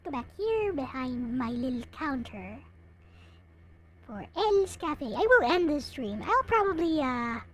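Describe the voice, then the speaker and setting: High, lilting woman streamer, unhurried. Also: very animated. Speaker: live streamer, host. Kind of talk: casual livestream chat to viewers.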